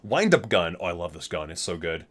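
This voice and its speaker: A man's voice: deep voice, sing-song, a little fast, a bit bright. A man reading aloud in an ASMR style with casual asides, in an enthusiastic, geeky and chatty manner. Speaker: video host reading aloud from a book.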